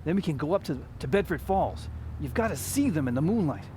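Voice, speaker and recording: Male actor as restless young dreamer, radio drama. Wry, halting, very animated pitch. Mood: eager, dreamy. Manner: hesitant, halting, folksy. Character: restless young man, sardonic, kindhearted, flustered suitor. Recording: faint background noise.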